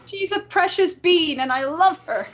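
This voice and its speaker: A woman co-hosting a casual podcast, talking in an animated, playfully exaggerated way. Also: extremely high pitch, a little slow. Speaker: podcast co-host.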